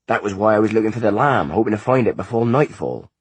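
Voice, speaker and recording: A man's voice: lowish voice, lively intonation, fast talker, slightly rough, dry recording, voice pushed up. A man voicing a weary, grieving peasant mother, sorrowful and pleading, in an audiobook.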